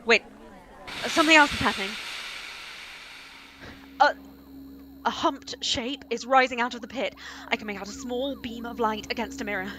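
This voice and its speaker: A woman playing an excitable female news reporter giving halting, dramatic eyewitness commentary in a radio play. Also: fairly high pitch, natural voice. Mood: tense, hushed. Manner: breathless, halting, stammering with alarm. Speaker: actor in a live radio play. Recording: noisy live stream with loud ambience.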